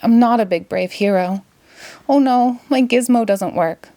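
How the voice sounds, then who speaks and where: A woman's voice: on the high side, measured pace, warm tone, no room sound. A woman with a Boston accent voicing a timid, earnest little male alien in a children's storybook. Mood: timid, worried.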